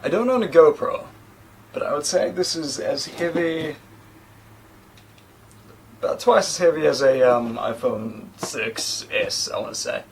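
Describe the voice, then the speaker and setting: A man in his thirties with a New Zealand accent talks through an unboxing in a relaxed, rambling way, throwing in little self-deprecating asides. His pitch bounces around a lot as he goes, and a faint hum of room ambience sits under his voice. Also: unhurried, long pauses. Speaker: tech reviewer. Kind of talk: casual unboxing talk. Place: small indoor room against a plain sheet backdrop.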